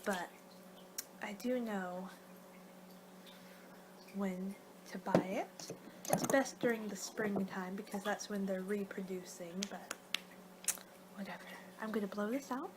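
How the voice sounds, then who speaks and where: A woman performing a playful ASMR roleplay, rambling along in soft speech and whispers as if losing her train of thought. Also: mid-range voice, slow, pauses often. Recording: clear background noise.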